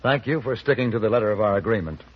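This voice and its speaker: An actor playing a cool, polite American gunfighter for hire, measured and dry, in 1950s radio western style. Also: male voice, on the low side, expressive, noisy background, close to an everyday voice. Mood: cool, firm. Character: cool, orderly gentleman gunfighter for hire, unflappable. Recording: muffled old radio recording.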